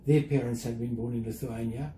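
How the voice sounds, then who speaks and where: An older man with a South African accent, talking reflectively and carefully as an interview guest, with measured, hesitant phrasing. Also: mid-range voice, fairly even intonation, mellow. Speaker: interview guest, museum chief executive. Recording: roomy echo, faint background noise.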